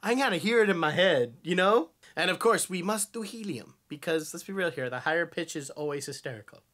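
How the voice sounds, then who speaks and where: A man's voice: a little high, brisk. An American man in his thirties giving jokey, casual reaction commentary as a host in a furnished room. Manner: animated, jokey. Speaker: host, voice impressionist. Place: small furnished room, indoors.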